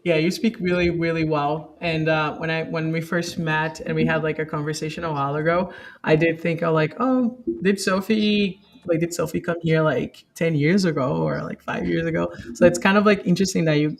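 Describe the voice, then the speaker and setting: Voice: mid-range voice, steady tone, normal speed, creaky. An interviewer speaking casually, rambling a little with many repeated likes and restarts.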